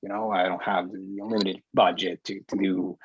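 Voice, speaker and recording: A man speaking as an instructor in a casual discussion, halting and often repeating words, on a muffled online call. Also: deep voice, little pitch movement, a little hiss or hum. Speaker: film instructor leading a class discussion. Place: online group video meeting.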